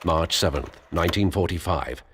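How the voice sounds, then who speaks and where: A man giving scripted documentary narration in a measured, solemn manner. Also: low voice, a bit bright, a little hiss or hum.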